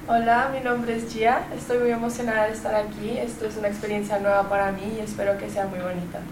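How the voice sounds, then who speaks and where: A teenage girl from the Dominican Republic, speaking calmly and chattily as a student radio guest. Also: mid-range voice, fast, echoey room, distant mic, background noise. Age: teens. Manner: calm, even, chatty.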